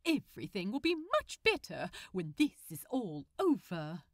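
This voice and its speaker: Storybook male supervillain read by a woman: pompous, courteous, slow-paced, highly expressive, articulate. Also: dry recording, studio quality. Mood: smug, lecturing. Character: prim, nefarious male supervillain, politely smug and fussy. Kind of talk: fan fiction audiobook character voice.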